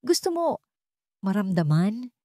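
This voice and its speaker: A woman's voice: mid-range voice, very animated, a little slow, dry recording, clean studio sound, voice pushed up. Woman voicing a vulnerable, earnest young woman. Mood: shy tenderness. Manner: soft, trembling, pleading.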